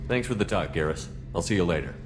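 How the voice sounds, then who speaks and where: An actor playing a steady human military commander in a video game, asking direct questions in a plain, businesslike way. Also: male voice, low voice, fast talker. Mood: calm, curious. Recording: loud background noise, game ambience.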